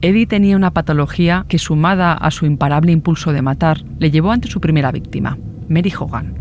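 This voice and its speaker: A woman's voice: sits low, quick pace, a little mellow, faint music behind. A woman reading scripted true-crime narration.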